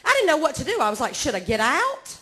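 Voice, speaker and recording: High-voiced Southern woman doing stand-up. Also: huge pitch swings, fast talker, bright, forceful tone. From Georgia, US. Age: 40s. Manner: drawling, self-mocking, chatty with the crowd. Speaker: stand-up comedian. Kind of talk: stand-up comedy set. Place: comedy club stage.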